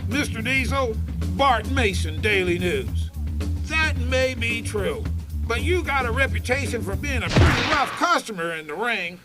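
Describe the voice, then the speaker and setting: A pushy newspaper reporter played by a man puts questions in a strikingly high voice. It is staged press questioning, with loud music behind him. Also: animated, measured pace. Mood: challenging.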